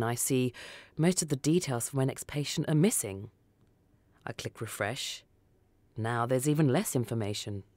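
A woman's voice: a bass voice, warm tone, dry recording. A woman reading a first-person short story for radio as scripted narration. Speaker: story reader.

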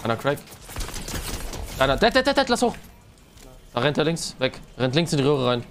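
A young man streaming a shooter game, giving clipped, excited callouts to his teammate in slangy speech. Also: a little high, normal speed, a little hiss or hum. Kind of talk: fast team callouts while gaming.

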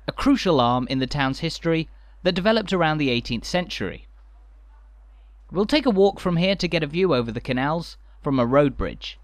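A man narrating a walking tour in a measured, enthusiastic guide's manner, from a scripted voice-over. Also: a little high, dry recording.

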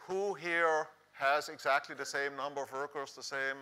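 Bright-voiced Swiss-accented man presenting. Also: fairly high pitch, fairly even intonation, moderate pace, clean tone. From Switzerland. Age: middle-aged. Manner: conversational, rhetorical questions to the audience. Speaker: conference speaker, professor. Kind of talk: conference presentation. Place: large dark conference hall stage, indoors.

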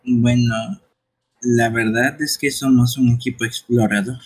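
A man dubbing game dialogue live in an amateur, playful, teasing way, with a husky voice. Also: mid-range voice, flat delivery, unhurried.

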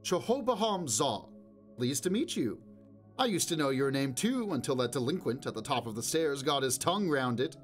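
A brash, boisterous ambassador, put on by a man reading aloud. He is loud, pushy and overfamiliar, and his voice sits well above the reader's own, with faint music underneath. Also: medium pitch, very animated, normal speed, voice pushed up, natural voice.

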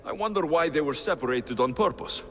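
An actor playing a confident, wry mercenary adventurer in a video game, with a matter-of-fact manner. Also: male voice, a little high, lively intonation, fairly quick, fairly bright tone. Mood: curious.

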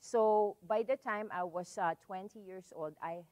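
A middle-aged woman from the Philippines with a Filipino accent, giving a public lecture in a library hall and telling her story in a measured way with many "so"s and "um"s. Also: medium pitch, darkish tone, muffled recording. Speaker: visiting lecturer. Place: library hall with a seated audience.

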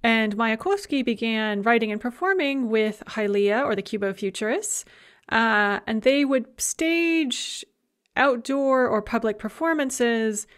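A middle-aged woman lecturer speaks in a slightly high voice over slides. She talks conversationally and drops in filler sounds between her phrases. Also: a little slow. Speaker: university lecturer.

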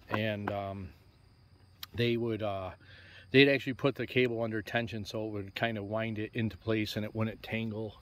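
A man casually walking through his truck winch setup outdoors, rambling with many fillers and restarts, filmed on a handheld phone. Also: medium pitch. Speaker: DIY truck vlogger. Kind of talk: casual how-to walkthrough. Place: outdoors on a lawn beside a truck.

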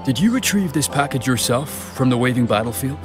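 A man playing a calm, commanding gang boss. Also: mid-range voice, a little fast, over music, natural voice. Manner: calm, commanding, dryly joking. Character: confident male gang leader, smooth and commanding. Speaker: leader of a crew.

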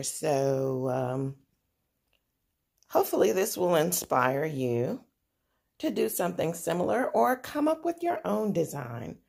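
Woman, home decor vlogger; low, soft-spoken, unhurried, filmed on a phone at her table. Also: vocal fry. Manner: soft-spoken, unhurried, frequent ums and uhs. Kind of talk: casual show-and-tell narration. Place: indoors at a dining table.

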